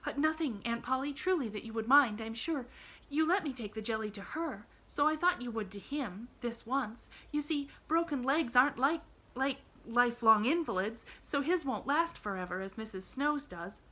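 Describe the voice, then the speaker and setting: A woman voicing an eager, cheerful young girl who talks in breathless rushes. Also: fairly high pitch, expressive, voice pushed up. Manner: bright, breathless and chattering.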